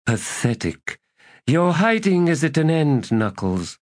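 A vengeful one-eyed god who is hungry for lost power, bitter and commanding, as a male narrator puts him on. He speaks at a raised pitch with a loud, forceful push and wide swings in intonation. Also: very animated, slow. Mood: scornful. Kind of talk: audiobook character reading.

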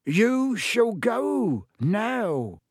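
A man voicing an excitable, servile male house-elf with a shrill, squeaky voice and a halting, earnest manner. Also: creaky, slightly rough, no echo, studio quality, heavily acted. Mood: fierce.

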